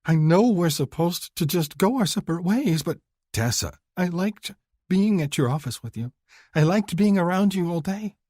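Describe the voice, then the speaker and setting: A man's voice: lively intonation, normal speed, a little mellow, dry recording, clean studio sound. A man putting on a weeping young woman. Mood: tearful pleading. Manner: high, breathy, weeping delivery. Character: tearful young woman pleading her love.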